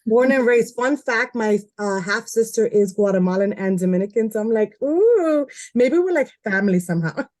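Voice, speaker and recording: A woman hosts a casual podcast chat, introducing her guest. She talks in a loose, chatty way, fills pauses with ums, and giggles often. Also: on the high side, animated, a little mellow. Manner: chatty, giggly, with filler words. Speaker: podcast host.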